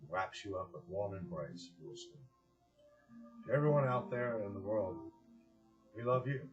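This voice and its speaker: A man speaking earnestly and deliberately with pauses, as a presenter giving a heartfelt address and storybook reading. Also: fairly low pitch, dull audio. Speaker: director of a community organization, presenter.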